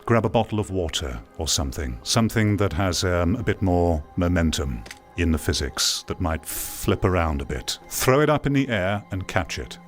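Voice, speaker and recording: A man's voice: deep voice, very animated, moderate pace, faint music behind. A man giving opinionated commentary to viewers, emphatic and repeating words for stress. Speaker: video host, VR commentator.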